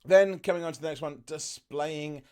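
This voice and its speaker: A man with a naturally loud voice, talking casually to camera as a travel vlogger, loose and full of fillers and asides. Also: fairly high pitch, moderate pace, clear, resonant tone.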